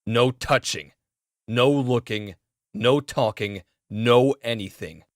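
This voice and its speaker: A man playing a scowling, curt demigod brawler in an audio drama, gruff and unimpressed. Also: medium pitch, slow pace, no room sound, studio quality, natural voice. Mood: stern warning. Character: scowling, gruff, bored male brawler demigod itching to fight.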